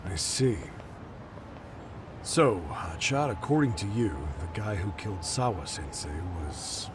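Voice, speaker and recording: An actor playing a gruff, jaded, foul-mouthed middle-aged police detective in an English game dub. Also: male voice, lowish voice, big pitch swings, unhurried, background noise. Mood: sardonic.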